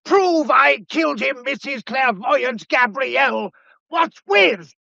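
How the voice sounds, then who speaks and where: An actor playing a cornered, defiant murderer in a radio melodrama, taunting and theatrical. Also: male voice, extremely high pitch, animated, slow, vocal fry, pushed and bright, dry recording, exaggerated voice. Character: cornered, defiant murderer, an adventurer feigning lameness. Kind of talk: mid-century radio melodrama acting. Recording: dull, muffled vintage radio recording.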